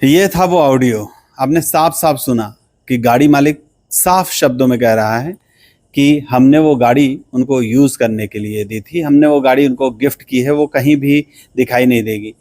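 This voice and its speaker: A male news reporter talks to his viewers in a spoken commentary. His mid-pitched voice is recorded dry and close, with no room sound. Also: moderate pace, darkish tone, no room sound.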